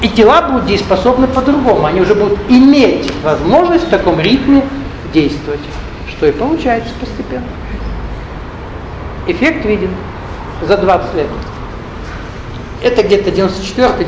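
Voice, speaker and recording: A man with a notably high voice teaches a live audience, drifting between his points, joking aside and putting questions to the room. The recording is echoey, with a faint hum of the hall behind him. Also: lively intonation, moderate pace, long pauses. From Russian-speaking, not Ukrainian. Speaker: spiritual teacher. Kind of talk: lecture to an audience.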